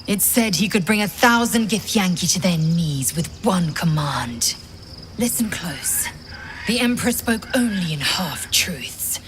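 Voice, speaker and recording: Game role: fervent warrior woman, lowish voice, solemn and declamatory, over clear insect noise. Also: expressive, unhurried, fairly bright tone. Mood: solemn, intense. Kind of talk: dramatic game dialogue.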